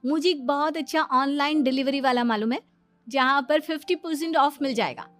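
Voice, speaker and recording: A woman's voice: high voice, normal speed, no echo, faint music behind, natural voice. A woman voicing a boastful young daughter-in-law in a cartoon, eager, insistent and self-assured. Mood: eager, confident. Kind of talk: exaggerated cartoon family-drama acting.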